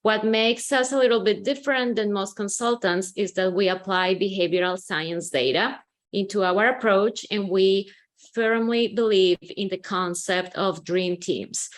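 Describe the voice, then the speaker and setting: Colombian-born woman consultant explaining steps. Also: mid-range voice. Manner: measured, explanatory, frequent um fillers. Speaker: consultant, company CEO. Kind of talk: webinar presentation.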